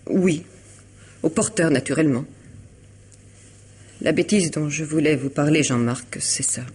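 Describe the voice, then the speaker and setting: Hesitant, elegant woman in radio drama, husky. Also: deep voice, measured pace, a little mellow. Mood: uneasy, hesitant. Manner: hesitant, restarting, articulate and formal. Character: elegant troubled woman confiding in her lawyer friend. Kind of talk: vintage French radio drama acting.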